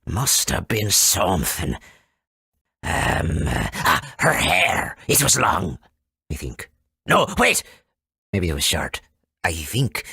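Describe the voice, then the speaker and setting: A man voicing an eccentric, excitable rustic guide in a video game, with a gravelly, rambling delivery. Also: low-pitched, dry recording, clean studio sound, full character voice. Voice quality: gravelly, raspy. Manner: halting, rambling, exclamatory. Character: eccentric rustic guide giving ominous warnings, forgetful and excitable.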